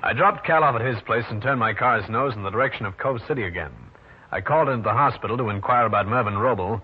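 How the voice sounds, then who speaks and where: An actor with an American accent playing a wry, tough private detective in an old-time radio drama, wisecracking and hard-boiled. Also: male voice, mid-range voice, quick pace, natural voice. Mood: brisk, wry. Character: wry tough New York private detective, adult man. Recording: dull, noisy old radio recording.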